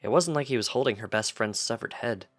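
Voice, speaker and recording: A man's voice: medium pitch, lively intonation, a little fast, faint music behind. A man reading fan fiction aloud as scripted story narration. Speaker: fanfiction reader.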